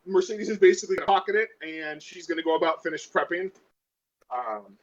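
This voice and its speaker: Male tabletop gamer, lighter pitch, thinks aloud haltingly while narrating actions, dull audio. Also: animated. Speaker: player in a tabletop role-playing game. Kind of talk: casual tabletop roleplay, describing character actions.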